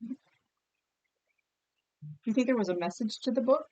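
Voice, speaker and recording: A woman's voice: on the high side, moderate pace, dark tone, lo-fi sound. A woman teacher leading a read-aloud vocabulary lesson with children, encouraging and questioning, enunciating words for pupils to repeat.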